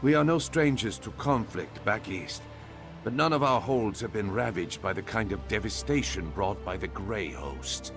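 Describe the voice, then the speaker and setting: An actor playing a reflective, humbled eastern Nord king, speaking in a measured, solemn way in a video game cutscene. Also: on the low side, lively intonation, over music.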